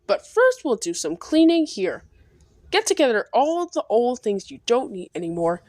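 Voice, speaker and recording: A woman voicing a brisk, kindly nanny in a storybook, organizing small children. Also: fairly high pitch, big pitch swings, a little mellow, no echo, studio quality. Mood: brisk, cheerful.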